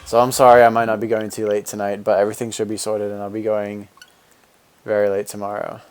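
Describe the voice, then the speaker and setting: A young man in his twenties with a fairly low, deep voice streams a game and chats loosely with his viewers. He rambles in an easygoing way, full of fillers and restarts, as he answers their comments. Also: little pitch movement, fast talker, a little mellow, clear, resonant tone, soft background music. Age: 20s. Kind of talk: casual live-stream chat, reading and answering viewer comments.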